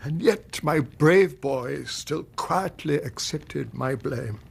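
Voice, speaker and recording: An English actor in a radio drama playing an anguished, gullible older gentleman, a father who speaks in an emotional, halting, formal way. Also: fairly high pitch, expressive, vocal fry, husky, heavily acted. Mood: remorseful. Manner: emotional, halting, old-fashioned formal speech.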